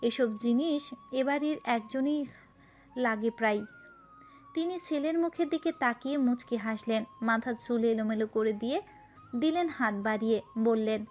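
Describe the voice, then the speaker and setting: A woman's voice: a little high, moderate pace, a little mellow, soft background music. A woman narrating a romantic story from a script.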